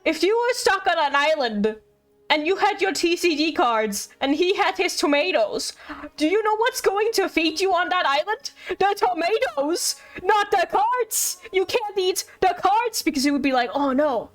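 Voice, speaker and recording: A woman's voice: very high voice, lively intonation, moderate pace. A woman doing casual live game stream commentary, playful and rambling with mock-indignant asides. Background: game music.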